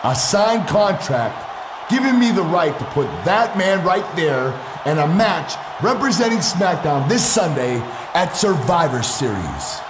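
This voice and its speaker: A man's voice: a little high, big pitch swings, unhurried, a crowd in the background, noisy background. A man playing a brash, scheming wrestling show boss, arguing emphatically in a video game cutscene. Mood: smug, defiant. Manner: argumentative, emphatic. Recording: echoey room with music behind.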